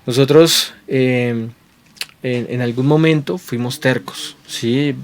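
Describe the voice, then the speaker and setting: A man's voice: medium pitch, slow pace. Earnest preacher man. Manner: earnest, checks in with repeated rhetorical questions; repeats and restarts phrases while building points. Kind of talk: sermon to viewers at home.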